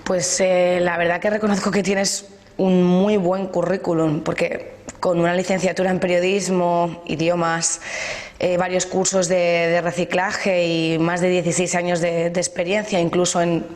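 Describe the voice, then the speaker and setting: A woman playing an interviewer in a short video, questioning calmly with slight hesitations. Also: lowish voice, moderate pace.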